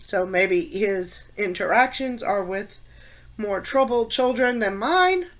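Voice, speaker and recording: A woman in her fifties with a head cold, stuffed up, giving her opinions in a casual class vlog and stressing words between pauses and fillers. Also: mid-range voice, expressive. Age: 50s. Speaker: college teacher and student.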